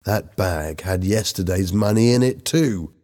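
A man's voice: deep voice, measured pace, darkish tone. A man voicing an irritable, accusing teenage boy in a story, curt and demanding. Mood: angry.

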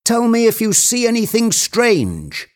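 An agitated, domineering storybook man, acted out by a male reader. His voice jumps well above a normal male register and swoops animatedly as he issues urgent commands. He sounds obsessive and excitable. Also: sits high, a little slow, voice pushed up. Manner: imperious, agitated, exclaiming.

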